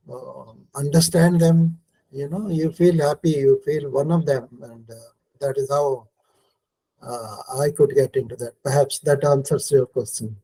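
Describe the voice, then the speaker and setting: Older Indian man, mellow halting speech. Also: on the high side, low-quality recording. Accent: Indian English. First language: Telugu. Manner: halting, many fillers and restarts. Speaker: guest translator. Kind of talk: online talk answering questions. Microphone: video call.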